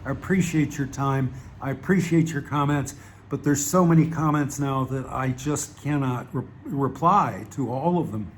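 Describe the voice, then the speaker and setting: A man's voice: mid-range voice, moderate pace, slight background noise. A man with a Southern American accent, talking to his channel in a wry, digressive way, full of fillers and restarts. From Southern United States. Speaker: channel host. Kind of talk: rambling casual monologue.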